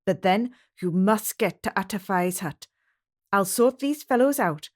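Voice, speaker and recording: A man's voice: high-pitched, lively intonation, measured pace. A woman voicing a terse, protective Scottish shepherd man with a Scottish accent, speaking firmly and giving orders in a storybook reading. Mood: urgent. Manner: terse, firm, commanding.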